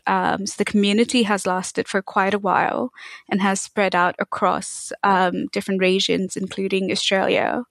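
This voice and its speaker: Woman from Tanzania, interview guest, reflective and halting, on a video call with a dry close sound. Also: medium pitch, creaky, dry recording. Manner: thoughtful, with frequent fillers. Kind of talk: conversational interview.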